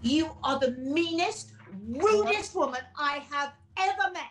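A woman's voice: high voice, expressive, unhurried, over music. A woman playing a melodramatic, sweet young bride-to-be in a pantomime, with gushing theatrical delivery. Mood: indignant. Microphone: video call.

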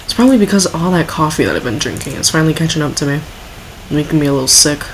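A woman's voice: sits low, expressive, very fast. Woman reacting casually, noisy background. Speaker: reaction video host. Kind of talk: casual reaction commentary, reading text aloud.

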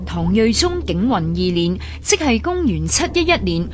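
A woman's voice: medium pitch, big pitch swings, normal speed, slight background noise. A woman with a Hong Kong Cantonese accent reading scripted historical narration. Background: background music.

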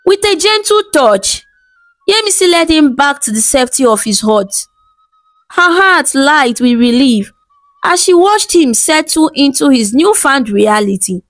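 A woman telling a scripted folktale as narrator, in a measured, dramatic storybook delivery. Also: high-pitched, very animated, fairly bright tone, no room sound, rough recording. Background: background music.

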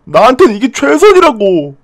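A man's voice: very high-pitched, wildly swooping pitch, normal speed, no room sound, exaggerated voice. A man voicing a timid, anxious young man who talks hesitantly and pleads.

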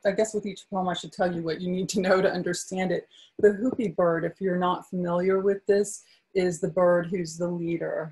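A woman reading her poems at a book launch, slow and soft-spoken, with gentle fillers between readings. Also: on the low side, husky, low-quality recording. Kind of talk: poetry reading with casual asides.

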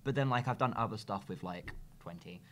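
A man's voice: fairly low pitch, brisk. A man in his early twenties chatting casually and informally, peppering his talk with "like". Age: 20s. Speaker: podcast guest. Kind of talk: casual chat. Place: meeting room indoors. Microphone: shared table microphone.

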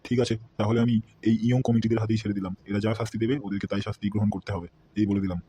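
A man's voice: on the low side, steady tone, racing, mellow, a little hiss or hum, close to an everyday voice. A man voicing stern, scolding village elders and a headman in a comedy cartoon. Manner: scolding, grave and abusive. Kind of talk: Bengali comedy cartoon dubbing. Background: background music.

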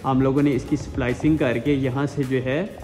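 A man's voice: medium pitch, steady tone, normal speed, a little mellow. A young man hosting a technical walkthrough, explaining a security installation step by step in a large empty indoor building, with frequent hesitation fillers. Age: young adult. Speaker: security installer and channel host. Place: large empty mall interior under construction, indoors. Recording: roomy echo from far off, music behind.

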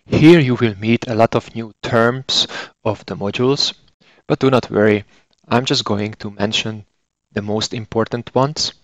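Hungarian accented guy in his 40s doing a training voiceover, lowish voice, dry close sound. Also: a little slow, dry recording. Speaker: software trainer and consultant engineer. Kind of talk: scripted instructional narration.

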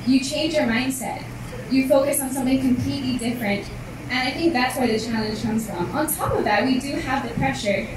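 A young woman with a slightly high voice gives thoughtful answers to the press. She sounds set back from the recorder, and the hall throws a heavy echo around her words, over steady room noise. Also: a little fast, recorded from a distance. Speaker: pageant candidate. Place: large event hall indoors. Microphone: handheld mic. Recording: echoey hall heard from afar.

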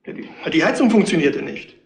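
An actor playing a nervous, affronted pub manager in a radio crime drama, flustered and stammering. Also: male voice, fairly high pitch, expressive, brisk, vocal fry. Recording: roomy, faintly noisy radio play recording.

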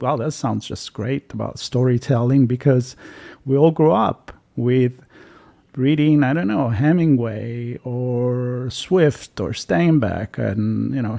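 A man's voice: mid-range voice, gravelly, warm tone. A middle-aged Italian man with an Italian accent, hosting a podcast and asking rambling, friendly questions. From Italy. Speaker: podcast host. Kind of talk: casual interview talk. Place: home studio room. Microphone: studio mic on arm.

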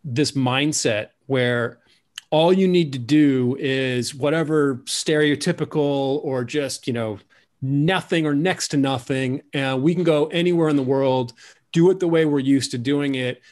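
A man's voice: medium pitch, a little slow, darkish tone. A man in his forties, a guest author, telling stories animatedly on a video call with lots of gesturing and restarts. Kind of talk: video call conversation, storytelling. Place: room at home.

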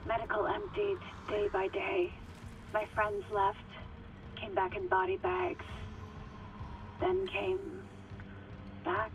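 An actress playing an eerily serene, devoted woman in a video game, speaking in slow, cryptic, portentous lines. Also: highs cut off, lightly acted. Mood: haunting, wistful. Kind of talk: video game drama acting. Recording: music and ship rumble behind, partly distant. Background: music, engine rumble.

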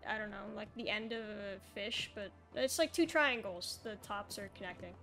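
A woman's voice: medium pitch, normal speed, sharp tone, faint music behind. A young woman chatting casually as she plays a co-op puzzle game, thinking aloud in a hesitant, descriptive way. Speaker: gamer playing a co-op puzzle game. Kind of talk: casual gameplay chat.

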